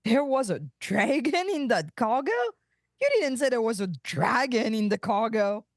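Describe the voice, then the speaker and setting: A woman playing a brash, sardonic alien pirate aunt in an animated sci-fi table read, with an unhurried, wry delivery. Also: fairly high pitch, no echo, clean studio sound. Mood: indignant.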